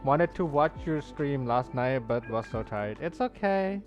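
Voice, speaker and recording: A man's voice: medium pitch, lively intonation, unhurried, lo-fi sound. A man livestreaming a game, chatting casually and greeting viewers in a friendly way. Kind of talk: casual chat with stream viewers, reading chat aloud. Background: soft background music.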